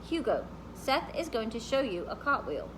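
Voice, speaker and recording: A woman's voice: fairly high pitch, sing-song, moderate pace, background noise. A woman reading the part of an easygoing, folksy farmhand who gives plain instructions in a storybook. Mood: instructing.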